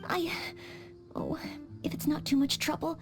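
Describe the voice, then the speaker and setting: An actress voicing an earnest, polite young maid training to be a knight in a game cutscene, with small hesitant stammers. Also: female voice, high-pitched, little pitch movement, measured pace, soft background music. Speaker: game character.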